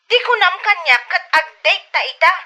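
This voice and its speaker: A man's voice: extremely high pitch, lively intonation, moderate pace, pushed and bright, pitched above the performer's own voice, full character voice. A man voicing a sulky, demanding, clingy young girlfriend in an Ilocano comedy drama. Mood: pouting.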